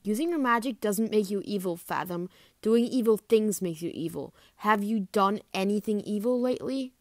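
A woman's voice: mid-range voice, normal speed, darkish tone, no echo, clean studio sound, natural voice. A woman voicing a blunt young female dragon who is exasperated and emphatically scolding. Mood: exasperated, reassuring.

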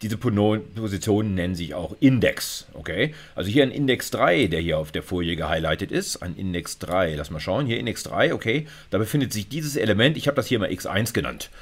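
A middle-aged man lectures in a conversational, explanatory way. His voice sits on the low side, with highly varied, animated intonation. He often checks in with short tags as he walks through the material. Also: a little fast, hardly any pauses. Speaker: university lecturer. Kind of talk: informal explanatory lecture over slides.

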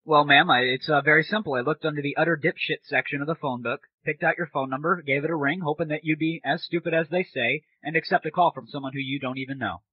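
A man's voice: on the high side, steady tone, fast talker, hardly any pauses, slightly rough. Muffled, irreverent male comedy host. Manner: sarcastic, irreverent. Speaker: host of a comedy audio show. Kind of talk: casual, crude comedic monologue. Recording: muffled, noisy lo-fi audio.

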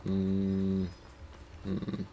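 A man's voice: low voice, completely flat delivery, extremely slow, gravelly, muffled recording, noisy background. A man giving casual gameplay commentary as a let's play host, muttering to himself.

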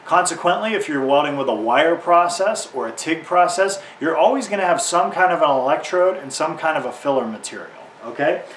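A man's voice: fairly high pitch, expressive, fairly quick, few pauses, a bit bright. A man in his thirties giving an informal how-to explanation to camera as an instructor, in plain, simple terms. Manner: explanatory, uses simple analogies. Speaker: welding instructor. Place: garage or workshop beside a tractor. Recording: roomy, distant mic, faint background noise.

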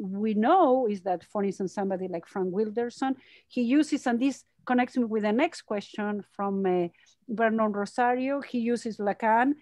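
A middle-aged woman psychoanalyst lectures over a video call, her voice slightly high and dry through a headset. She speaks thoughtfully, pausing and searching for words as she answers questions from her home study. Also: a little mellow, no room sound. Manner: thoughtful, hesitant with many uh fillers. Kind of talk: academic lecture and Q&A.

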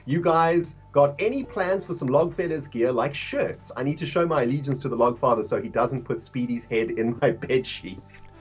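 A man's voice: medium pitch, normal speed, few pauses, faint music behind. A man from South Africa with a mixed South African and English accent, chatting casually as a livestreamer in slangy, laid-back language.

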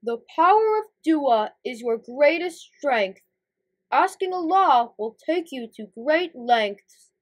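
A woman acts out a wise storybook superhero chief, a man of grand and solemn manner. The delivery is measured and knowing, with a touch of amusement and slow, deliberate pacing. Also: high voice, sing-song, exaggerated voice. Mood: calm, knowing. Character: Big Boss, calm amused wise male superhero chief.

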